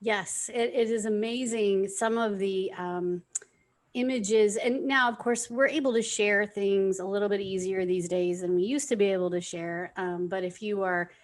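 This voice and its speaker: A woman's voice: medium pitch, steady tone, normal speed. An American woman in a mid-range voice who hosts a podcast. She chats easily and effusively, with a self-deprecating streak. The interview sounds like it runs over a remote video call. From United States. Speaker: podcast host. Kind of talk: friendly interview hosting.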